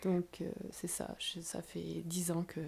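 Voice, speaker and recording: A woman from France with a Parisian accent, in her forties, talking about her life as a guest dancer and choreographer in a relaxed, thoughtful way. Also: lowish voice, unhurried, creaky. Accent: Parisian French. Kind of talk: interview conversation.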